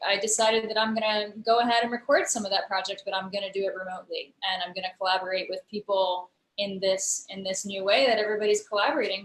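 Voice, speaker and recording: A woman's voice: medium pitch, a bit bright, roomy sound, rough recording. A woman, a guest on a remote interview, explaining her work at length, thoughtful and full of fillers, heard over a video call. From United States. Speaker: guest, digital storyteller and musician. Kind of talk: casual interview conversation.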